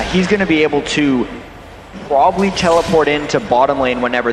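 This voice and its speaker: A man from North America giving measured, analytical esports color commentary on a headset at a packed convention hall. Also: a little high, a little hiss or hum. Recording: echoey arena with music behind.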